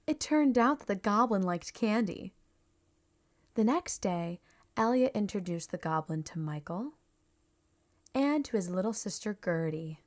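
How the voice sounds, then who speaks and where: A woman reads a scripted children's story aloud. Her pace is slow and measured, with an expressive delivery meant for young listeners. Her pitch sits in the middle for a woman. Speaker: storybook reader.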